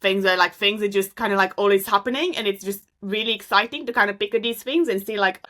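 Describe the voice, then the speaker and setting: Bright-voiced Romanian woman astrophysicist. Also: mid-range voice, fast talker. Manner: rambling, many fillers and restarts. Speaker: astrophysicist guest. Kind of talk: casual interview answers.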